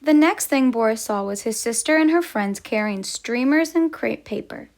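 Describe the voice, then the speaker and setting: A woman's voice: fairly high pitch, animated, moderate pace, a little mellow. A woman storyteller reading a picture book aloud for children, as a teacher would.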